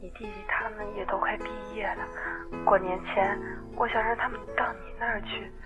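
A woman's voice: medium pitch, fairly even intonation, fairly quick, over music, close to an everyday voice. An actress playing a middle-aged mother in an audio drama, homely, tentative and coaxing. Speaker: voice actor.

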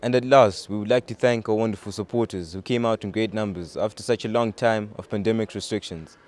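Youthful male school reporter, moderately low pitch, scripted, handheld mic outdoors. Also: steady tone, fairly quick, darkish tone. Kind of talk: scripted piece to camera. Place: outdoors in front of a building. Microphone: handheld mic with furry windscreen.

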